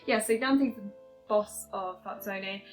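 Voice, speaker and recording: A woman's voice: medium pitch, takes it slowly, faint music behind, rough recording. A young woman with an English accent chatting casually through a game review, hesitant and full of fillers and restarts.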